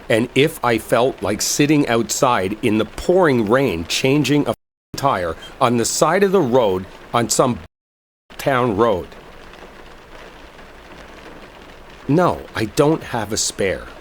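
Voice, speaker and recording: A man's voice: medium pitch, measured pace, close to an everyday voice. A man acting in a roleplay audio drama as an exasperated, sarcastic guy stranded with a coworker he dislikes. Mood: sarcastic, irritated. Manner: sarcastic, heated, swearing. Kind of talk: roleplay audio drama, one side of an argument. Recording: steady rain noise behind voice. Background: rainstorm ambience.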